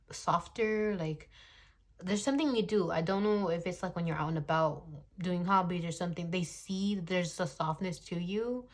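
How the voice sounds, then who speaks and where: A young woman in her twenties speaks in a slightly low voice as she gives a casual tarot reading into her phone. She is blunt and talkative, swears freely and often breaks into laughter. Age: 20s. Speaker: tarot reader.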